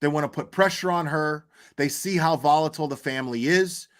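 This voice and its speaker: A man with a slightly high-pitched voice hosts an unscripted livestream commentary. He speaks bluntly and argumentatively, with coarse wording. He leans on repeated phrases to drive his points home. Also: a little fast, fairly bright tone. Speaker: livestream host commenting on crime cases.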